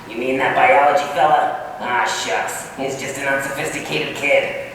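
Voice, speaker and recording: A man's voice: medium pitch, fairly even intonation, moderate pace, fairly bright tone, recorded from a distance, lightly acted. Male actor as brash, lovestruck old custodian, folksy radio-comedy delivery, very echoey stage recording with faint noise. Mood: scornful, insistent. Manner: folksy, rustic turns of phrase. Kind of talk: 1940s-style radio comedy acting.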